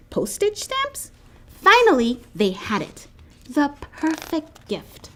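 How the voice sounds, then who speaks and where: A woman reading a children's picture book aloud with expressive, animated storytelling. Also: sits high. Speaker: storybook reader.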